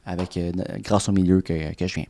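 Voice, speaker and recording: A man in his thirties with a Quebec French accent, a real estate broker chatting casually as a podcast guest, often restarting his sentences and filling pauses. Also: deep voice, steady tone, gravelly, dark tone, a little hiss or hum. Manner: rambling, many restarts and fillers.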